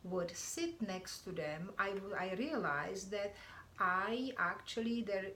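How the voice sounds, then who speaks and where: A woman's voice: mid-range voice, expressive, sharp tone. Earnest woman from the Czech Republic, roomy sound. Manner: earnest, halting, restarts phrases. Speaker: guest, animal communicator. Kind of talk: interview answers. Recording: roomy echo with faint noise.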